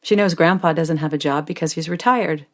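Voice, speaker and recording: An actress playing a tired, loving young mother who tells her story with intimate, wry warmth in a scripted monologue. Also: sits low, a little fast, lightly acted. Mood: tender.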